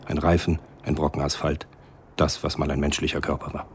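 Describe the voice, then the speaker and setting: A man narrating a literary radio play in a measured, sombre manner. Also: a bass voice, little pitch movement, slight background noise.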